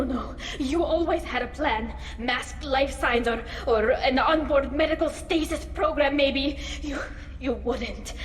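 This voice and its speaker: Casting: young alien female engineer, video game drama. Earnest, defiant, grief-laden, halting delivery, roomy reverberant sound. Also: sits high, slight background noise. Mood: distraught grief. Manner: earnest, halting under emotion. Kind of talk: cinematic video game voice acting. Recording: roomy echo, long reverb.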